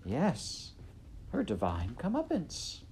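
A man voicing a loud, dim-witted, eager serving girl from a storybook, with uneducated rustic grammar and blurted exclamations. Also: medium pitch, big pitch swings, slow pace, a little hiss or hum, pitched above the performer's own voice. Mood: eager, plotting. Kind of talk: storybook character voice in audiobook narration.

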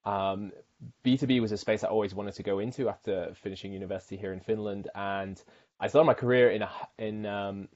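A man's voice: fairly low pitch, steady tone, fairly quick, a little mellow, muffled recording. A man from the UK, a marketing director, talking casually as an interview guest with frequent fillers and restarts.

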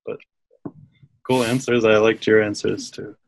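Man, low monotone, slow, relaxed, very dry recording. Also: vocal fry. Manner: laid-back, many "like" fillers. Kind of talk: casual chat.

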